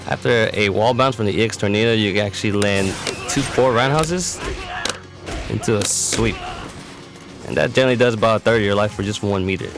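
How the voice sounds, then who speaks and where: Low, gravelly man explaining game moves over music. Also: fairly quick. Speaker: competitive gamer presenting a character demo. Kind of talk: fighting game tutorial commentary.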